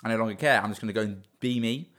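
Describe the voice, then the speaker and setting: A man's voice: fairly low pitch, rapid-fire, a bit bright. A young man in his twenties, a business owner talking earnestly in a casual interview, often restarting and repeating words.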